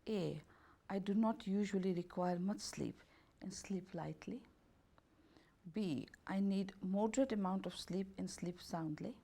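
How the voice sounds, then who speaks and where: Woman, gravelly, dark mellow tone, health therapist, reading options aloud, unhurried, slightly non-native grammar. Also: mid-range voice. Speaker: holistic health and beauty therapist. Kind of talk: reading out a questionnaire.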